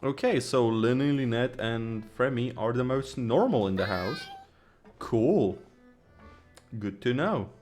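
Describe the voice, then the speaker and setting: A man's voice: mid-range voice, animated, takes it slowly, darkish tone. A man streaming a game, reacting with jokes, stammers and the odd swear. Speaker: gaming streamer. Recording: game music behind.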